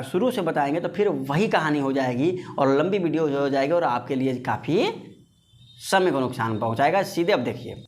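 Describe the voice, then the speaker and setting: A man giving an informal physics lesson, repeating phrases and posing questions that he answers himself, addressing listeners as friends. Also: a little high. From India. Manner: conversational, repeats phrases, poses and answers his own questions. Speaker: physics teacher.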